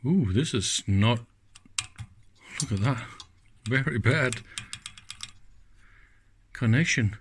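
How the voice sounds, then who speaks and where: A man presents an electronics teardown at a slow, unhurried pace. He talks casually and without a script, thinking out loud as he works and dropping in short exclamations. His voice sits at an average male pitch. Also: animated, a little hiss or hum. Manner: musing aloud with short exclamations. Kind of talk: casual unscripted hands-on commentary.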